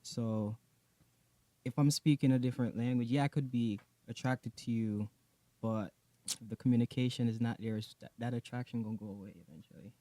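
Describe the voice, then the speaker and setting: A man sits for a casual podcast interview, talking about his music. He tells his story loosely and in slang, circling back to the same phrases as he goes. His voice sits at an ordinary male pitch. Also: steady tone, brisk, mellow, no room sound. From Jamaica. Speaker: guest, music artist.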